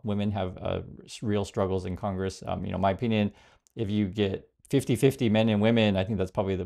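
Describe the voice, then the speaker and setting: A man's voice: on the low side, creaky, warm tone. A man born in Vietnam hosting a casual commentary channel, rambling with many fillers and reading viewer comments aloud. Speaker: political commentary host. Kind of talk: casual direct-to-camera commentary.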